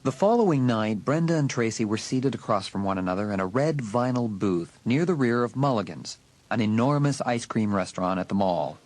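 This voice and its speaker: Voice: mid-range voice, lively intonation, brisk, darkish tone. A narrator reading a scripted, dramatic story for an audiobook.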